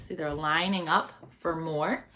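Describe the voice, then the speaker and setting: A woman's voice: low-pitched, fairly even intonation, a little slow, sharp tone, slight background noise. A woman reading a story aloud to young children, expressively, often prompting them with questions and counting along. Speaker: teacher reading a picture book aloud to children.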